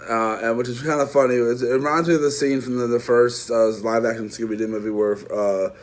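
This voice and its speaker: A man's voice: medium pitch, steady tone, fairly quick. A man with a mid-range voice talks through a movie review off the cuff. He rambles, stops and restarts his sentences, and keeps turning to address his viewers directly. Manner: rambling, lots of restarts and trailing asides. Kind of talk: casual unscripted review.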